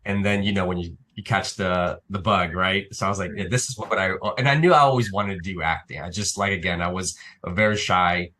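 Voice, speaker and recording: A man's voice: low-pitched, fairly quick, hardly any pauses, pushed and bright. A man raised in Italy, guest on a talk show, talking hesitantly with many fillers. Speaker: actor and filmmaker guest. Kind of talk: interview.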